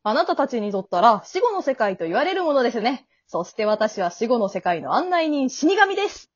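A woman acting a cheerful death god who guides the afterlife in an over-polite sales pitch, in an amateur radio drama. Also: big pitch swings, brisk, no echo, lo-fi sound. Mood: cheerful, breezy.

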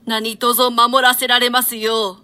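Classical drama role: woman plays indignant, fearful condemned lord, bright forceful tone, declamatory archaic lines. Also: fairly high pitch, level tone, slow pace. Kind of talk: theatrical reading of archaic translated Shakespeare.

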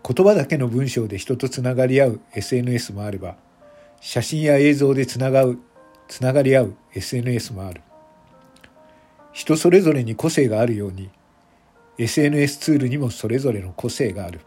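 Husky, mellow man narrating a drama. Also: mid-range voice, animated, brisk, faint music behind.

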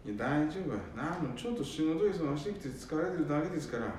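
A man's voice: animated, fairly quick, darkish tone, natural voice. A man playing a weary, dutiful eldest son with a Nanbu accent in an amateur radio drama. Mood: evasive, tired. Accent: Nanbu dialect of northern Japan. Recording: very echoey, distant mic, faint rumble.

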